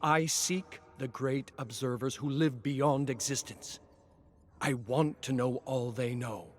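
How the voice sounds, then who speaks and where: A man acts out a proud lord and scholar from a dark fantasy game. He declaims with intense, desperate conviction. His voice is raised and forceful, hungry for forbidden knowledge. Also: medium pitch, slow pace, slight background noise, natural voice. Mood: proud, defiant.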